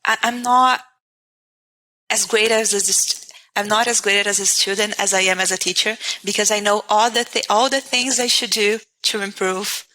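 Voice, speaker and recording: Portuguese-accented woman, language teacher as guest, crisp bright tone, thinks aloud with restarts. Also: mid-range voice, steady tone, moderate pace, poor audio. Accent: Portuguese-speaking. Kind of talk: casual interview.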